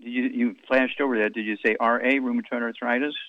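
A man hosting a radio health call-in show, telling rambling stories and firing brisk questions at callers. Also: medium pitch, fairly even intonation, dry recording, narrow, tinny audio.

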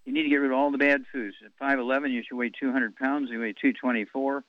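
A man's voice: mid-range voice, little pitch movement, fast, no echo, phone-quality sound. A man hosting a call-in health show on the radio, blunt and wisecracking, rattling off long lists of advice.

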